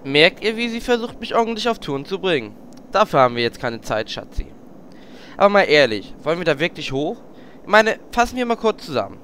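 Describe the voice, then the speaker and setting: A man plays a cocky, sarcastic adventurer with a flirty streak. His voice runs fairly high. It is from a parody radio play with faint noise behind. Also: big pitch swings, a little fast, fairly bright tone. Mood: leering, smug. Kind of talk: audio drama parody.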